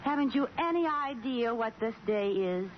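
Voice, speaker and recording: An actress playing an excitable, scheming young wife in a theatrical, exaggerated vintage radio sitcom style. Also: female voice, on the high side, moderate pace, natural voice. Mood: hurt, indignant. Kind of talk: 1940s radio sitcom acting. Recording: dull, noisy vintage radio recording.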